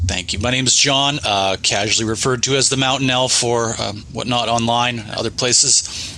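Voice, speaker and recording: A Canadian man chatting casually on an online call, polite and a bit halting. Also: mid-range voice, steady tone, bright, forceful tone, a little hiss or hum, rough recording. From British Columbia, Canada. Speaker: guest, energy worker. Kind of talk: casual chat.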